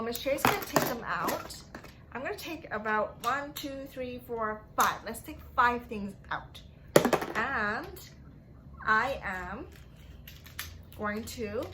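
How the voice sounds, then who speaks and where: A woman reading and teaching for young children as a story-time host, in simple, drawn-out phrases addressed to the kids, on a noisy recording. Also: fairly high pitch, bright, forceful tone. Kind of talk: read-aloud narration and playful teaching to young children.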